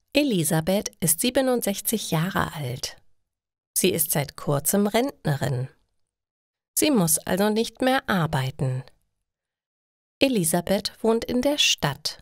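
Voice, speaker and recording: Woman, slow story narration for learners, lively intonation, slightly low pitch, deliberate phrasing. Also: no room sound, clean studio sound. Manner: deliberate, clearly separated short sentences. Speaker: narrator of German learning stories.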